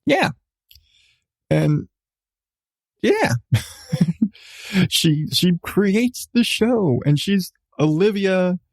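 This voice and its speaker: A man hosting a podcast, giving a casual, rambling review with halting starts, repeated phrases and an irreverent, sweary manner. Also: sits high, very animated, dry recording, studio quality.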